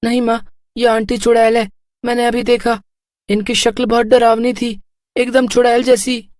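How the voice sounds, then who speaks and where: A woman does a scared small boy in a horror cartoon. The voice is high and childlike, held on a level, insistent note, earnest and close up in a dry recording. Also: little pitch movement, normal speed, a little mellow, no echo, lightly acted. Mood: frightened, insistent. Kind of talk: animated horror cartoon dialogue.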